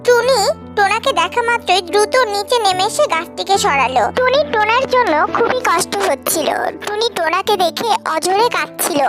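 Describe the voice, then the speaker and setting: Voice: very high-pitched, expressive, normal speed. A narrator reading a scripted cartoon story for children. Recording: music behind the voice.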